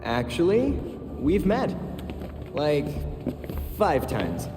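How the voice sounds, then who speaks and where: A man's voice: on the high side, huge pitch swings, very slow, over music, exaggerated voice. A young American man playing a nerdy, earnest vigilante sidekick in a video game cutscene, hesitant and casual. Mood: casual, matter-of-fact. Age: young adult. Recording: echoey hall with loud score behind.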